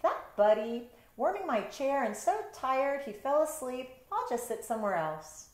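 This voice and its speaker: A smug, carefree cat from a children's storybook, as a woman reader puts it on. It is playful and self-satisfied, with an animated pitch, and sounds roomy. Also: moderate pace. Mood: fond.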